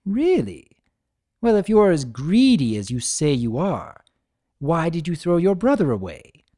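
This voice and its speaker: A man plays a mischievous chimera trickster in a dramatic story reading. The voice rides high and swings widely in pitch, teasing and flippant with a theatrical flourish. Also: sing-song, unhurried, warm tone. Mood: taunting. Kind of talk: dramatic fan fiction reading.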